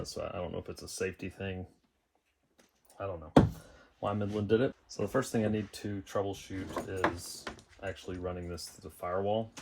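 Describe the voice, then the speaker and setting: Low-voiced man, casual DIY talk, noisy recording. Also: gravelly. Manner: unscripted, thinking aloud. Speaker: DIY vlogger installing a car radio. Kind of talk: casual how-to narration.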